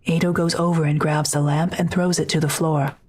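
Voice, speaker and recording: A woman narrator with a low-pitched voice. She reads scripted audio drama narration, describing each action. There is faint noise in the recording. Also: level tone, brisk, a little mellow.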